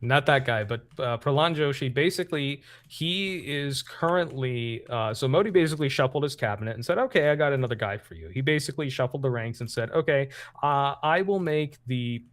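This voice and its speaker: A man's voice: medium pitch, animated, brisk, a bit bright, dry recording. A man hosting a stream, chatting casually about politics with lots of fillers and restarts; English is not his first language. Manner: rambling, many fillers and restarts. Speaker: streamer host.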